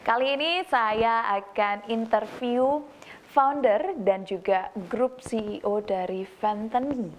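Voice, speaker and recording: A woman's voice: fairly high pitch, measured pace, slight background noise. A woman with an Indonesian accent working as a television host, presenting and putting questions to a guest in a broadcast interview.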